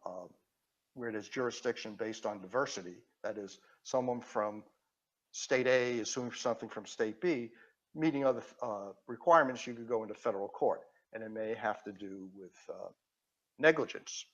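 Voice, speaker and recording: A man with a Queens accent walks through a technical explanation step by step, pausing on fillers and restarting his phrases. His audio has a muffled, low-fidelity edge. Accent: Queens New York. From Queens, New York. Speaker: guest. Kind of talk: casual podcast chat.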